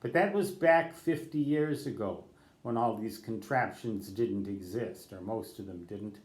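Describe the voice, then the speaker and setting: Older ham radio man, kinda low voice, rambling advice, echoey room. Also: a little slow. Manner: rambling, self-correcting, spells out call letters phonetically. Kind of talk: unscripted talk to camera.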